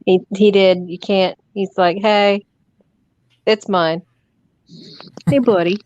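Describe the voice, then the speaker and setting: A woman with a slow, unhurried delivery, easygoing and a bit hesitant as she chats on a stream. Her voice sounds dry and close. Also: mid-range voice, fairly even intonation, a little mellow, no echo, muffled recording. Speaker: tattoo artist guest. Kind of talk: casual chat on a livestream.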